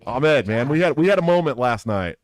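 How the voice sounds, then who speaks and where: A man's voice: mid-range voice, expressive, fairly quick. An American man hosting a casual live interview, speaking in an earnest, informal way. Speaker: podcast host. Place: live at a political convention.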